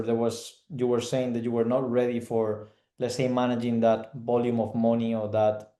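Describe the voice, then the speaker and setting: A man's voice: medium pitch, warm tone, echoey room. A man from Spain hosting an interview, asking long rambling questions full of "let's say". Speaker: interviewer, host. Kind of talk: interview conversation.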